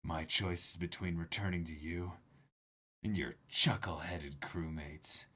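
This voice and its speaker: Guy doing a snooty cold prince for a manga reading, slow n husky, looking down on everyone. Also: low-pitched, steady tone, bright, forceful tone, exaggerated voice. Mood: cold, haughty. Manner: deliberate, disdainful. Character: cold, haughty young prince spurning his pirate crewmates.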